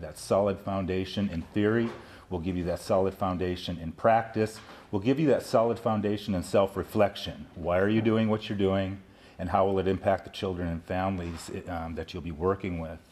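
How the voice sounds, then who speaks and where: Low-voiced man explaining a program. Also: darkish tone. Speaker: early childhood education instructor. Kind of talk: explanatory promotional interview. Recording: noticeable background noise.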